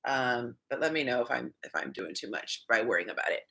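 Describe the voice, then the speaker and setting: A woman's voice: very deep voice, expressive, a little fast, creaky, bright, forceful tone. A young woman from California, hosting a casual livestream, chatting with viewers and reading their comments aloud with frequent ums. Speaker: dating advice host.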